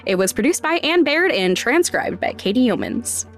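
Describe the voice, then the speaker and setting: A woman's voice: a little high, sing-song, moderate pace, faint music behind. A woman hosting a podcast, giving scripted explanations with wry, self-deprecating asides. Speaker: podcast host and sound designer.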